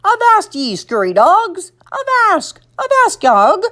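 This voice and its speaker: A blustery little toy pirate from a storybook. It bellows in a high voice that jumps wildly up and down. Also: female voice, wildly swooping pitch, slow pace, pushed and bright, exaggerated voice. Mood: blustering. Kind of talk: children's storybook character voice.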